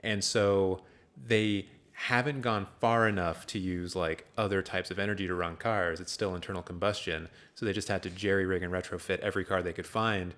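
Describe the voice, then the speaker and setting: A man's voice: low-pitched, fairly even intonation, normal speed, a bit bright. A man hosting a casual movie podcast, explaining things with mock seriousness. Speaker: podcast host.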